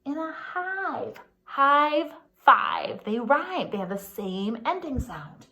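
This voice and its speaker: Expressive, slow-paced woman reading to little kids. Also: sits high. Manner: expressive, singsong, pausing to ask children questions. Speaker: pre-K teacher. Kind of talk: picture book read-aloud for young children, with asides.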